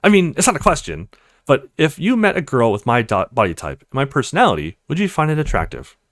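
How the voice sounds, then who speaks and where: Guy doing a small insecure young woman in a visual novel, teasing n affectionate, super swoopy pitch. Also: very animated, fast talker, fairly bright tone, dry recording, clean studio sound. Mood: hesitant, curious. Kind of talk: visual novel character read-aloud.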